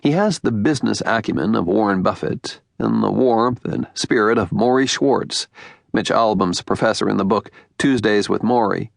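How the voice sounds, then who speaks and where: A man with an American accent narrating an audiobook in measured, deliberate phrases with emphatic pauses. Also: lowish voice, creaky, no room sound, studio quality.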